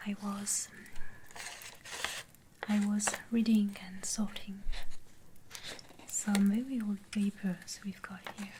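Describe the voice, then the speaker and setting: A woman playing a kind, welcoming keeper of an ancient library, speaking in a soft, breathy whisper for an ASMR fantasy roleplay. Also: medium pitch, flat delivery, slow pace, pauses often, background noise, lightly acted. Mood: quietly helpful.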